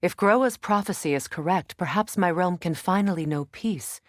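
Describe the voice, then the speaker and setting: An actress voicing a proud, guarded warrior goddess and witch in a video game, with measured, formal and earnest phrasing. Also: on the low side, no echo, clean studio sound, close to an everyday voice. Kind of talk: video game character dialogue.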